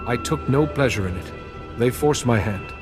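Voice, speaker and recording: An actor playing a calm, resolute Jedi mediator in a video game, measured and formal. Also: male voice, mid-range voice, over music, lightly acted. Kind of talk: video game cutscene acting.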